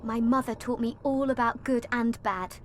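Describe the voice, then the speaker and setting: A woman's voice: on the high side, fairly even intonation, moderate pace, slight background noise, natural voice. An actress playing a young orphan girl in a video game, earnest, plaintive and stubbornly moralizing. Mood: defiant.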